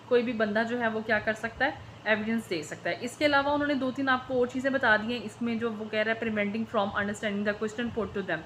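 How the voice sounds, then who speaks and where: Female law tutor, Pakistani accent, slightly high pitch, informal explanatory lecture with English terms mixed in, some background noise. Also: fairly bright tone, low-quality recording. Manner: code-switches between Urdu and English legal terms. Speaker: law teacher.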